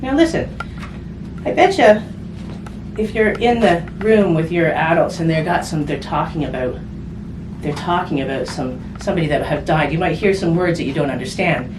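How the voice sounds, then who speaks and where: A woman's voice: sits low, moderate pace. A woman reading a picture book aloud to children, addressing them directly and explaining things simply. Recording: distant mic, roomy echo, clear background noise.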